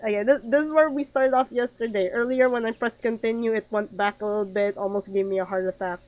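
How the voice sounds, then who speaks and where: A woman's voice: on the high side, fairly even intonation, fast talker, darkish tone, rough recording. A woman streaming a game with casual commentary, reading text aloud and dropping wry, informal asides. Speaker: gaming streamer.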